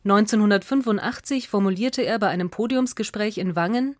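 A woman reading a scripted radio essay as narrator, in a measured, factual and articulate way. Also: medium pitch, dry recording, studio quality.

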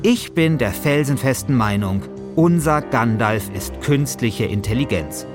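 A man's voice: medium pitch, lively intonation, measured pace, over music. A man hosting a podcast, moving between scripted narration and casual chat. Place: small radio studio. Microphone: studio microphone.

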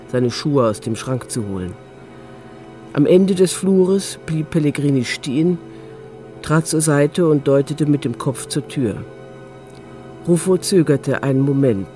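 A man's voice: mid-range voice, warm tone. A man narrating a radio drama from a script as storyteller.

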